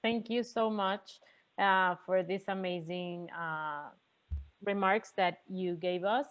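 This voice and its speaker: A woman's voice: on the low side, fairly even intonation, slow pace. A woman from Mexico with a Mexican Spanish accent, asking a careful, hesitant question over a video call. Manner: careful, hesitant, many fillers. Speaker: audience member asking a question. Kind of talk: asking a question in an online panel. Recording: dull, muffled video-call audio.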